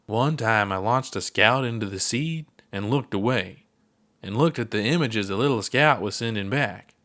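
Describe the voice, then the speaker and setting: A man's voice: lowish voice, normal speed, fairly bright tone, lightly acted. A man reading aloud, voicing an older, laid-back alien space explorer who talks in an easygoing, unbothered way. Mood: relaxed.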